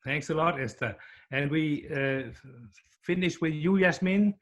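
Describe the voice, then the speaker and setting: A man hosting and moderating an online panel discussion, introducing guests in a measured, welcoming way. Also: fairly high pitch, a bit bright. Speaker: host, moderator.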